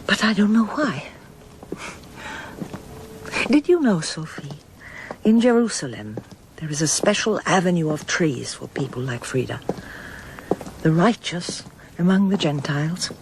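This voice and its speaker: A woman's voice: fairly low pitch, sing-song, unhurried, pauses often, background noise. An actress playing an older mother, bewildered and reflective, speaking in a hesitant, musing way in a naturalistic radio drama. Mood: wondering. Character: older mother, bewildered, reflective, anxious, remembering her past.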